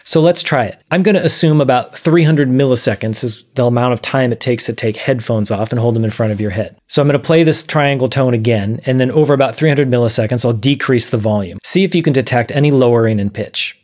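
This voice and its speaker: A man in his thirties presenting an explanatory video lesson in a measured, methodical way. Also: medium pitch, darkish tone. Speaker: presenter of an audio science series. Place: small dim room, indoors.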